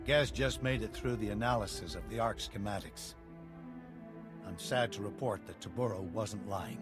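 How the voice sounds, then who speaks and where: A man voicing a wry, concerned rebel colleague in a sci-fi game, in a measured scripted delivery. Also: on the low side, over music, lightly acted. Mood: worried.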